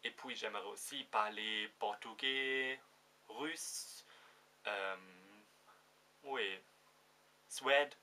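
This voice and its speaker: A young man from Germany in his twenties, speaking French with a German accent, talking to his viewers in a casual vlog and often pausing to search for words. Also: mid-range voice, sharp tone, a little hiss or hum. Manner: hesitant, searching for words, many fillers. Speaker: vlogger. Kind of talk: casual direct-to-camera vlog.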